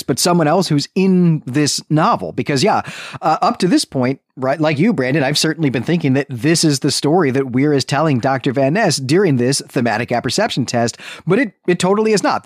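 A man's voice: on the high side, no room sound, clean studio sound. A man co-hosting a podcast, retelling and explaining a story in a conversational way, often checking in with "right". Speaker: podcast co-host.

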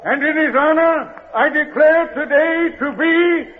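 A proud, pompous mayor declaims to the townsfolk in a very high voice. The delivery is slow and ceremonial, in old radio drama style, on a thin vintage recording. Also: male voice. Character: grand speechifying city mayor, proud and booming. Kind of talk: 1940s radio acting, public oratory. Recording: old lo-fi radio, noisy with crowd.

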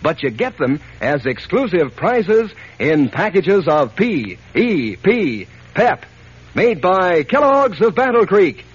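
Animated American radio pitchman, vintage sound. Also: slow, husky. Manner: folksy, enthusiastic sales pitch. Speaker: radio announcer. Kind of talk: 1940s radio ad copy and announcing for children. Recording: dull old radio recording, faint noise.

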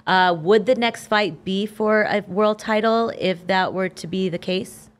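A woman's voice: medium pitch, unhurried. A woman hosting a boxing podcast, asking long conversational questions. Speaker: podcast host. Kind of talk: interview questions.

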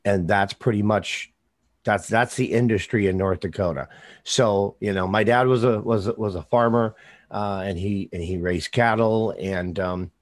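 A man from the American Midwest hosting an interview, talking casually and haltingly, with lots of fillers and sentences that trail off. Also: lowish voice, no echo, studio quality. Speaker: podcast host.

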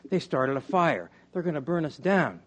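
A man reading a story aloud, voicing a frantic, defiant boy who shouts with childish emphasis. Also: on the high side, very animated, normal speed, voice pushed up. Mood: alarmed. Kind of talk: dramatic literary reading.

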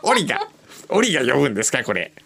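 A man's voice: mid-range voice, wildly swooping pitch, quick pace, gravelly, very noisy. A man hosting a radio show, chatting casually and reading out messages from listeners.

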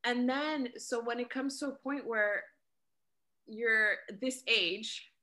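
A woman's voice: on the high side, slow pace, pushed and bright. A woman in her late thirties co-hosting a casual podcast, earnestly summing up and building on her partner's points. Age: late 30s. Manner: earnest, summarizing and building on the other speaker's points. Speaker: podcast co-host. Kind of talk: casual personal chat.

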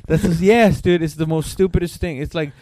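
Podcast co-host, man with a slightly raised pitch, blunt sarcastic sidekick, dry close recording. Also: steady tone, fairly quick, mellow, no echo. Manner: blunt, sarcastic, profane reactions. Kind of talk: casual chat.

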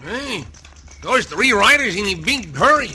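A simple, good-natured Mexican uncle from an old radio western. The voice is high and piercingly bright, with a heavy accent and broken English. Faint noise sits on the old recording. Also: male voice, sing-song, unhurried, full character voice. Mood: cheerful, curious. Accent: heavy Mexican Spanish. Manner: broken English, refers to himself by name. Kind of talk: old-time radio comic sidekick acting.

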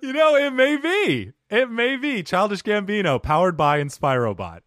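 Animated man hosting a podcast. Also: high voice, moderate pace, no echo, studio quality. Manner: playful, animated, self-deprecating. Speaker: podcast host. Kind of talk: casual interview chat.

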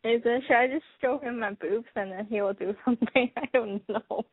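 A young woman in her twenties speaks in a slightly high, husky voice, shy and halting as she calls in to a radio show. Her voice is dry and close, squeezed through a tinny phone line. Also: no room sound. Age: 20s. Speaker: caller.

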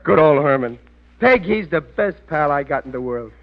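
An actor playing a good-natured, gullible, middle-aged working-class American husband who blusters ungrammatically in a 1940s radio comedy. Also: male voice, a little high, lively intonation, moderate pace. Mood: fond, eager. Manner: blustery, ungrammatical everyman talk. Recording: muffled vintage radio recording.

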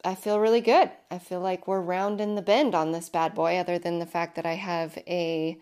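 A woman in her thirties hosting her own podcast, talking casually with emphatic repetition, her voice hoarse and deepened from illness. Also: steady tone, moderate pace, darkish tone. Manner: chatty, emphatic, repeats herself. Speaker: podcast host. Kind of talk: casual solo podcast monologue.

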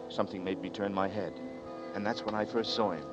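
A man's voice: on the low side, fairly even intonation, a little fast, lightly acted. An actor playing a dazed man who narrates his own ordeal with tense, brooding delivery in 1940s radio drama style. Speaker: narrator and lead. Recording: muffled old radio broadcast with music.

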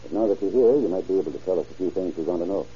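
A man playing a brisk, businesslike small-town sheriff in an old-time radio drama. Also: deep voice, mellow, lightly acted. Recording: muffled old radio recording with hiss.

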